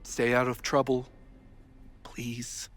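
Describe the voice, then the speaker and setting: A man's voice: medium pitch, completely flat delivery, takes it slowly, fairly bright tone. A man playing a harried, wry university society president in a video game, theatrical and sarcastic in an exasperated way. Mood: weary, guarded. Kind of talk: naturalistic video game acting.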